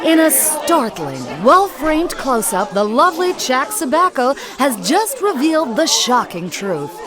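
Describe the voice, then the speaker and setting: A woman's voice: high voice, very animated, unhurried, a crowd in the background. An actress playing a sensational TV news anchorwoman in a radio satire, gushing and theatrically scandalised in mock news commentary. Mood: scandalised, dramatic.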